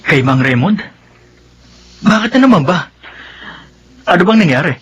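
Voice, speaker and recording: Nervous, high-voiced Filipino younger brother. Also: male voice, animated, moderate pace, a bit bright, muffled recording, a little hiss or hum. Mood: puzzled. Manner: hesitant, questioning. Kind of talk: Filipino radio drama acting.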